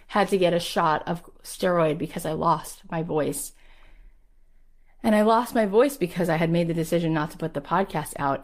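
A woman in her thirties, a podcast host giving an emphatic, rallying motivational talk in a conversational way. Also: sits low.

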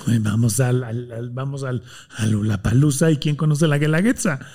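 Passionate Mexican man, mellow husky voice. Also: medium pitch. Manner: passionate, fast and animated, acts out quotes. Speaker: guest, healthy food entrepreneur. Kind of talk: casual interview conversation.

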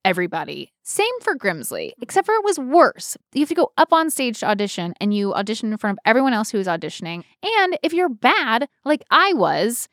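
A woman in her thirties co-hosting a casual chat, telling stories in an animated, irreverent way peppered with "like". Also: fairly high pitch, a little fast, hardly any pauses, dry recording, studio quality. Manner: animated, irreverent, frequent "like" filler. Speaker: podcast co-host.

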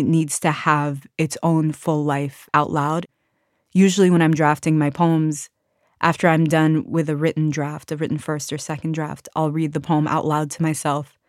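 A woman's voice: sits low, darkish tone, dry recording, clean studio sound. A woman poet from Washington, D.C., talking reflectively and casually about her writing, with frequent filler words. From Washington, D.C., United States. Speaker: author and poet. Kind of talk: reflective podcast interview talk.

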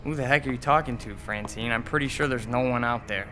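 Actor as irritable young man, wisecracking, grumbling, sharp bright tone, horror audio drama, faint music bed. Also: mid-range voice, fast talker, natural voice. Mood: uneasy, resigned. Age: young adult. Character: flippant, irritable young boyfriend trapped in an apartment.

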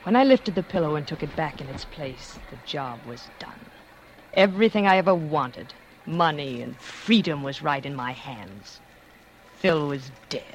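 An actress playing a scheming, cold-blooded former stage actress, confessing in dramatic 1940s radio suspense style. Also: female voice, sits low, expressive, a little slow, slight background noise. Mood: cold, calculating. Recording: 1940s radio recording with music.

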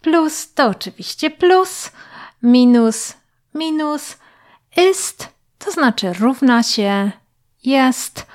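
Slow, patient woman teaching German. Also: high voice, animated. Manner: patient, carefully enunciated, pausing for the listener to repeat. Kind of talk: slow, clear language lesson with repetition drills.

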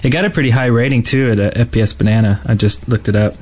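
A man's voice: medium pitch, steady tone, quick pace, a little mellow. A man co-hosting a casual gaming podcast, talking in a hesitant, rambling way with trailing thoughts. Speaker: podcast co-host.

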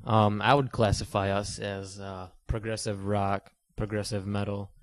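An eighteen-year-old man from Michigan answers as a guest in a plain, matter-of-fact way. His voice is low and flat. The sound is dry. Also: unhurried, dry recording. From Michigan, USA. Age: late teens. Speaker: band drummer, guest.

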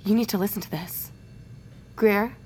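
A woman's voice: medium pitch, normal speed, a little mellow, slight background noise, natural voice. Actress as determined female survivor leader. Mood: insistent. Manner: urgent, decisive. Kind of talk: audio drama acting.

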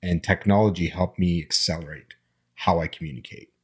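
Middle-aged man whose first languages are Armenian and Arabic, deep husky voice, relaxed reflective talk. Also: fairly quick. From immigrant, grew up speaking Armenian and Arabic. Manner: reflective, storytelling, frequent fillers. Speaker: panel guest. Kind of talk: casual conversation.

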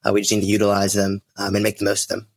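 A man's voice: fairly low pitch, monotone, racing. A twenty-year-old man from the United States, chatting casually as a guest on a podcast. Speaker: podcast guest, real estate investor. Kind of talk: casual conversational storytelling.

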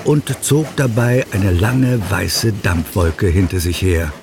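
A man narrating a scripted children's story in a measured, descriptive way. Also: deep voice, animated, vocal fry. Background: background music.

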